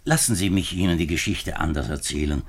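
A man's voice: sits low, fairly quick, slightly rough. An actor in a 1950s German radio drama playing a troubled, earnest government minister, speaking formally and hesitantly as he confides. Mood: grave, explanatory. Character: troubled male French government minister, reluctant politician, earnest.

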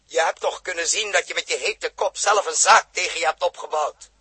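A stern spaceship captain, with a high, very husky and forceful voice. He gives clipped, theatrical orders in the manner of old 1960s radio drama, on a vintage recording. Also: brisk, full character voice. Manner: commanding, clipped, theatrical delivery.

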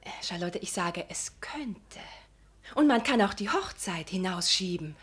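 A woman's voice: lowish voice, lively intonation, a little slow, breathy edge. An actress playing a pious, fawning married woman in a radio drama, hesitant and over-polite, with diction. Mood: slyly suggestive. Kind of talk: German radio drama acting.

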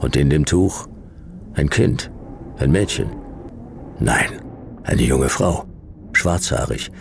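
A man playing a laconic private detective in a sci-fi radio play, narrating in clipped, deadpan with a gravelly voice. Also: extremely low pitch, takes it slowly, slight background noise, exaggerated voice. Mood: dry, laconic. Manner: clipped, dry, deadpan delivery. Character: Jonas, laconic hardboiled male private detective narrating his case.

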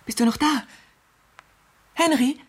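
An actress playing an anxious, pleading, helpless bedridden rich wife in a 1970s German radio thriller, with a breathy, halting delivery. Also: female voice, high voice. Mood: hesitant, pleading.

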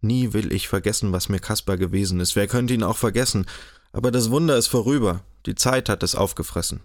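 A man's voice: fairly low pitch, fairly quick, no echo, studio quality, natural voice. A man voicing an earnest, defiant middle-aged professor in an audiobook, speaking hastily. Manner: hasty and defiant.

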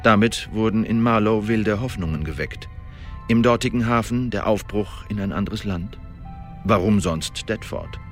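An actor playing an Elizabethan secret agent who gives a precise, measured official report in a radio drama monologue. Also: male voice, lowish voice, soft background music, close to an everyday voice. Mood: careful, narrating.

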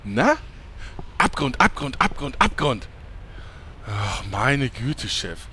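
A man's voice: mid-range voice, big pitch swings, slow, sharp tone, full character voice. A man plays a cheerful pest of a sidekick in a comedy radio play. He chatters theatrically in a mid-range voice that swoops up and down dramatically, with faint noise behind. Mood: chirpy, pestering. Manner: chirpy, pestering, theatrical. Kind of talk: German comedy audio drama acting.

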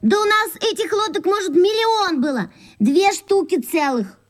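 A woman's voice: very high-pitched, expressive, a little slow. An actress playing a tough, bossy, contrary young girl in a children's radio play, speaking in a blunt, colloquial, scornful way. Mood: grumbling.

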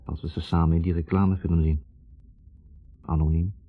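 An actor playing a dissatisfied, self-questioning reporter, narrating with measured rhetorical questions in a 1960s radio drama. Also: male voice, low voice, level tone. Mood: dissatisfied, reflective. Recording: muffled narrow-band 1960s radio recording.

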